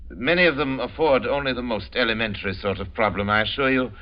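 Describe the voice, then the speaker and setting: An actor playing a cultured, boastful general who hunts men for sport, in 1940s radio drama style, savoring every word. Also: male voice, medium pitch, fast talker, close to an everyday voice. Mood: calm, sinister. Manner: theatrical, urbane, boastful, savoring his words. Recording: dull lo-fi old radio recording.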